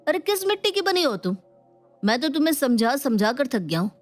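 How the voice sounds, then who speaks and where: Woman acting a strict, fed-up, high-voiced husband. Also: big pitch swings, a little fast. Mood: stern, exasperated. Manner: lecturing, stern. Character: strict, fed-up husband issuing stern warnings. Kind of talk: dramatised Hindi family storybook. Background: music.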